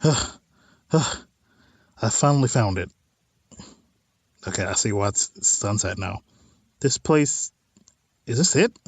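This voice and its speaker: A man's voice: lowish voice, expressive, slow, pauses often, husky, no echo. A man hosting a let's play of a visual novel, reading text aloud and adding informal, wry asides. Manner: informal, wry side comments on what is read.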